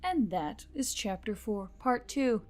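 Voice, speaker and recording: A woman's voice: on the high side, expressive, slow, a little mellow, soft background music. A woman reading a scripted story aloud as a narrator. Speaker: audiobook narrator and channel reader.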